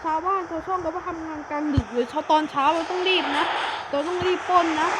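A man voicing a young male cartoon thief, a frantic big brother who keeps shouting warnings to his little brother. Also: extremely high pitch, heavy background noise. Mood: urgent. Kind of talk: cartoon voice acting.

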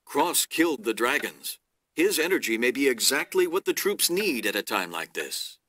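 A man playing a stern, calculating middle-aged lord in a video game, measured and authoritative. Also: medium pitch, big pitch swings, sharp tone, a little hiss or hum. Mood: wry suspicion. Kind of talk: video game cutscene acting.

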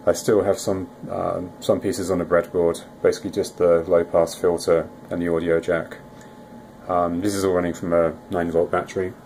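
A very low-voiced man presents a hobby electronics project in an informal way. His intonation stays quite flat, and there is faint room noise behind him. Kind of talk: informal project demo narration.

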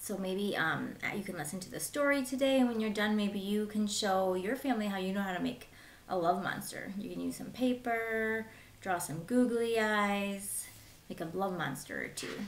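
A woman in her thirties reading a picture book aloud as a teacher, with simple, deliberate phrasing for young children and short asides. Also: medium pitch. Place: small room at home, indoors.

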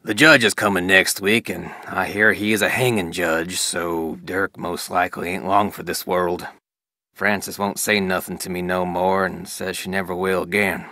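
Video game role: weathered frontier lawman and father, low forceful voice, dry close recording, rural Western American accent, plainspoken. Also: steady tone, normal speed, no room sound. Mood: stern, troubled, then regretful, sorrowful. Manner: folksy, plainspoken. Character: weathered Old West sheriff, grieving, stern, loving father. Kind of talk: scripted diary reading in a video game.

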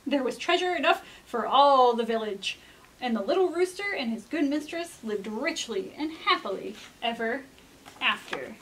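Young woman, children's storyteller, high pitch, bright tone, expressive, deliberate, emphatic dramatic reading. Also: a little hiss or hum, poor audio. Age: young adult. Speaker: library storyteller. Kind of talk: dramatic read-aloud for children. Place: indoor library room with bookshelves.

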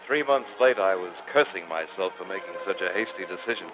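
An actor playing an earnest, formal professor who narrates a sea adventure in old-time radio drama style. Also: male voice, low-pitched, animated, quick pace. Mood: grave narrating. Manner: formal, theatrical, dramatic delivery. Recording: muffled old radio recording, music underneath.

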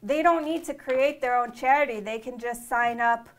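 A woman's voice: a little high, moderate pace. A young woman co-hosting a casual discussion in an office, chatty and often restarting her sentences. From New York. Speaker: co-host. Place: open-plan office, indoors.

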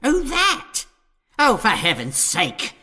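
A man's voice: sits high, huge pitch swings, slow, pushed and bright, breathy edge, exaggerated voice. A British man playing a cunning, pompous medieval lord in a comedy audio drama, sneering and exasperated. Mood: exasperated, furious.

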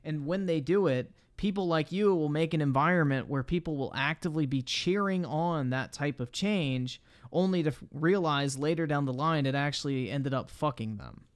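A man's voice: fairly high pitch, moderate pace. A man doing casual live-stream reaction commentary, blunt and slangy with frequent swearing. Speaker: livestreamer reacting to videos.

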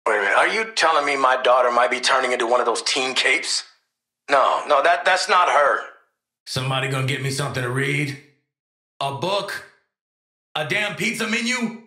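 A tough video game assassin with a wry, streetwise edge. His voice is very bright and forceful, mid-pitched, and rings in a roomy space. He is a sardonic gunman and protective dad. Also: lively intonation, moderate pace. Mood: urgent, worried, then irritated, sarcastic.

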